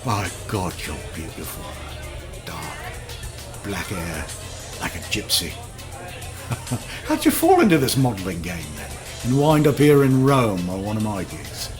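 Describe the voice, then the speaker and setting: An actor playing a cocky, flirtatious British celebrity photographer of the 1960s in a radio drama, swaggering and slangy. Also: male voice, fairly low pitch, huge pitch swings, measured pace, long pauses, music in the background. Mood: seductive. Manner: swaggering, slangy, smooth-talking.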